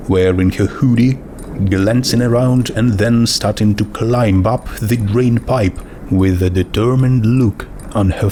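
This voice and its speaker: A man's voice: lowish voice, a little mellow, a little hiss or hum. A man reading a story aloud as narrator, in a scripted storytelling style. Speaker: fan fiction narrator.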